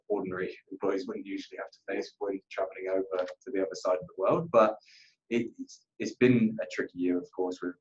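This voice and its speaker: A man speaks in a deep voice with little melodic movement. He gives earnest, conversational interview answers, often doubling back on his words. The audio is slightly dull. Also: a little mellow. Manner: earnest, repeats himself, often says "you know". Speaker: account manager being interviewed.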